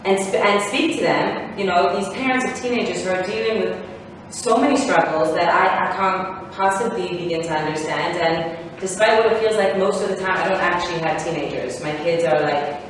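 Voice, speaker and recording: A woman's voice: lowish voice, moderate pace. A woman in her thirties, born in Israel and raised in South Africa, giving a rambling personal talk to parents with many fillers and restarts. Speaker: guest speaker. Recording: echoey hall from far off, faint noise.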